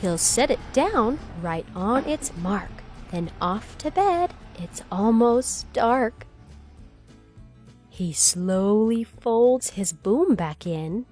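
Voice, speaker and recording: A woman with a slightly high voice reads a children's bedtime story very slowly. Her delivery is expressive and gentle, with stretched words and acted emphasis. Music plays behind her. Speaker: storybook reader.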